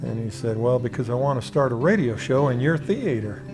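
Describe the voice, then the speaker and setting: A man's voice: medium pitch, animated, fairly quick, over music, poor audio. A middle-aged man being interviewed, reminiscing casually about his own experiences. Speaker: theater owner being interviewed.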